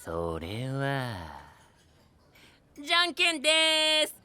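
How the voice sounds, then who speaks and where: Bright, polite, playful young knight. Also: male voice, extremely high pitch, huge pitch swings, slow pace, exaggerated voice. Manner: courteous, theatrical announcing. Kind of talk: Japanese anime-style voice drama acting.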